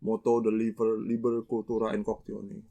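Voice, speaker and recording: A man talking casually as a podcast guest, halting and searching for words. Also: on the low side, monotone, creaky, dark tone.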